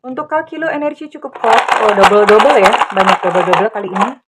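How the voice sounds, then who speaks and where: A woman's voice: medium pitch, animated, fast, very noisy. A middle-aged woman doing a tarot reading for her viewers, speaking affectionately and calling herself Bunda. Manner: affectionate, calls listener darling, refers to herself as Bunda. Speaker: tarot reader. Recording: loud electronic music behind.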